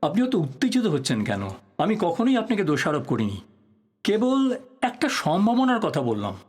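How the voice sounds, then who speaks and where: An actor playing an earnest, persistent journalist in an audio drama, speaking politely and probingly in a husky voice. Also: male voice, sits high, big pitch swings, fairly quick, darkish tone, heavily acted. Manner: polite, probing, musing to himself. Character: earnest, persistent male journalist probing his old teacher's death. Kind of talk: Bengali audio drama acting.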